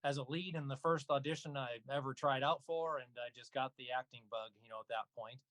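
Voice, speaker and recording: Male interview guest, mid-range voice, dry sound, measured explanatory talk. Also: medium pitch, a bit bright, dry recording, poor audio. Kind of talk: casual conversation.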